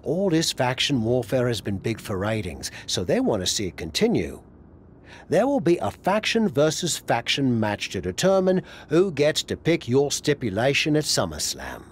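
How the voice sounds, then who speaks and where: Blunt, animated wrestling insider man. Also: medium pitch, moderate pace, a little hiss or hum. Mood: serious. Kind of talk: video game cutscene dialogue.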